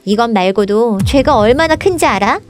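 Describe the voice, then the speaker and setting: A high, bright-spirited princess voice acted by a woman argues politics in a storybook parody. She is sharp-tongued and indignant, scolding with emphatic rhetorical questions. Faint music plays behind her. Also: expressive, normal speed, natural voice. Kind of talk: scripted political satire dialogue, storybook parody.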